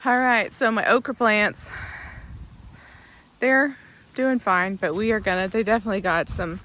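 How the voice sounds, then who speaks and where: A woman, slightly raspy from a sore throat, chatting casually through a garden vlog while filming outdoors on her phone. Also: medium pitch, normal speed, a bit bright, a little hiss or hum. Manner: chatty, rambling with many ums. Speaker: vlogger, gardening channel host. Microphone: phone held in hand.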